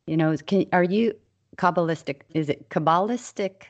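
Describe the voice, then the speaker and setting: A woman's voice: on the low side, moderate pace, lo-fi sound. A woman hosting a radio show, introducing her guest and asking questions with enthusiasm. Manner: enthusiastic, effusive.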